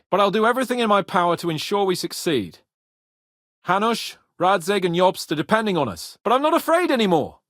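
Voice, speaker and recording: A brash young nobleman for a medieval video game. His voice sits high and swings widely, full of lordly self-importance and spirited confidence. The sound is very dry. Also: fairly bright tone, no room sound. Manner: pompous, spirited, swearing. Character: cocky young Bohemian noble lord, boastful, foul-mouthed, eager.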